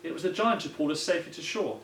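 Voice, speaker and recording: A man's voice: fairly high pitch, very fast, a bit bright, pitched above the performer's own voice, close to an everyday voice. A man voicing a kindly old grandad who earnestly tells tall tales in a children's picture book. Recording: roomy echo, some background noise.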